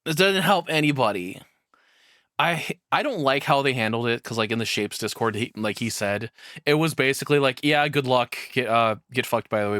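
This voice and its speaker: A man giving casual reaction commentary as a streamer, rambling haltingly and swearing freely. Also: on the low side, fairly bright tone, no room sound, studio quality.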